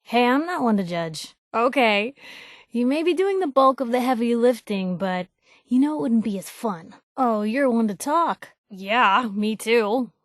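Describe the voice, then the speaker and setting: An actress playing a plucky, principled young woman reporter in a video game, speaking in a candid, wry, expressive, slangy way. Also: a little high, measured pace, no room sound, studio quality. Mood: wry, teasing. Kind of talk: video game companion dialogue, conversational storytelling.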